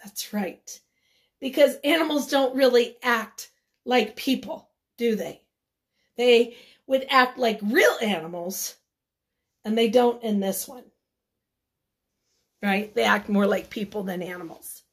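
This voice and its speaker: A woman teacher leading a read-aloud lesson for young children, encouraging and instructive, pausing after simple questions. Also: on the high side. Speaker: elementary school teacher.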